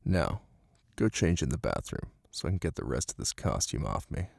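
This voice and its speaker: A man's voice: big pitch swings, normal speed, creaky, darkish tone, dry recording, clean studio sound, full character voice. A man playing a brooding, possessive young mafia heir and new husband in an intimate roleplay audio drama, blunt and commanding yet protective. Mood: firm. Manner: blunt, commanding, swearing, low-key intimate delivery.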